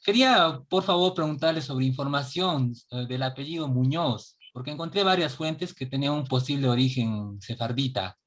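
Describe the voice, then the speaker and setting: A man's voice: medium pitch, moderate pace, few pauses, fairly bright tone. A man from the audience asking a question politely and hesitantly.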